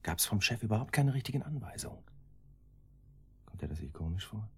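A man's voice: lowish voice, very animated, brisk, darkish tone, breathy edge, exaggerated voice. An actor playing an older, cautious henchman in a radio drama, hesitant and brooding as he reasons things through. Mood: anxious reasoning.

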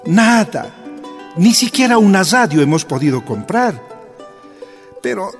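A poor, tender father giving humble counsel. His fairly high voice rises and falls a lot. Long reverb and soft guitar music surround it, in radio drama style.

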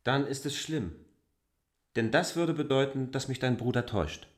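An actor playing an earnest, brooding stranger in a radio play, speaking in a deliberate, formal, argumentative way. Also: male voice, medium pitch, lively intonation, lightly acted. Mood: troubled. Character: earnest adult male stranger, insistent and brooding. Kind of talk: literary radio play dialogue.

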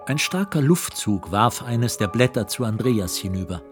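A man narrating a literary radio play in a measured, composed way from a script. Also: medium pitch, very animated, music in the background.

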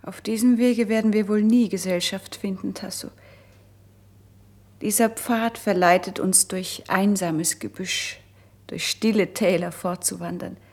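An actress playing a gentle, composed princess, speaking elevated verse with breathy, deliberate wistfulness in a classic German radio play. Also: female voice, medium pitch, steady tone. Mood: tender admonishing.

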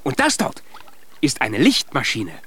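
An actor playing a proud, cheerful young dwarf inventor in a children's radio play, speaking with boastful emphasis. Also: male voice, medium pitch, wildly swooping pitch, a little slow, fairly bright tone, slight background noise. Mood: proud, excited. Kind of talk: children's radio play acting with some singing.

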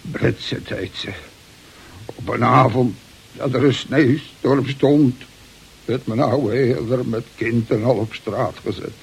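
A man's voice: medium pitch, steady tone, takes it slowly, vocal fry, husky, heavily acted, low-quality recording. An actor playing a big, jovial, drunken sailor in a radio play, rambling, slurring and stammering as he tells his tall tales. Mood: maudlin. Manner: rambling, stammering, bursting into song. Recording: hissy old radio play recording.

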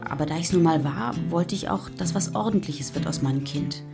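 An actress playing a middle-aged working-class mother, gravelly, nagging and disappointed, in a radio play. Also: deep voice, fairly quick, loud music behind the voice. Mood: bitter, resigned. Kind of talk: radio drama acting.

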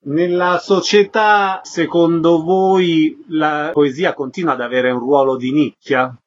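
A man's voice: fairly high pitch, steady tone, takes it slowly, highs cut off. A man hosting a radio interview, giving introductions and putting questions to his guests.

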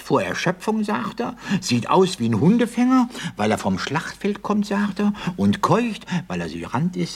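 Pompous court official, muddled and repetitive, husky voice, animated swoops, radio comedy. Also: male voice, a little high, heavily acted. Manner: pompous, halting, repeats phrases. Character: pompous, scatterbrained old-school aristocratic court theatre official. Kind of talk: German radio play comedy acting.

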